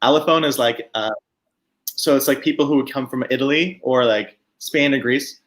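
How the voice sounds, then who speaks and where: A man's voice: mid-range voice, normal speed. A young adult man from Quebec chatting casually with a friend, with loose talk and many fillers. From Quebec, Canada. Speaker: co-host friend. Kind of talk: casual chat.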